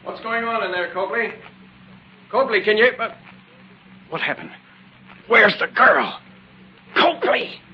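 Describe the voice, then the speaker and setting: An actor playing a cold, calculating gang boss who gives curt orders in an old-time radio drama. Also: male voice, sits high, lively intonation, takes it slowly, pauses often, background noise. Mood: alarmed. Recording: muffled vintage radio recording.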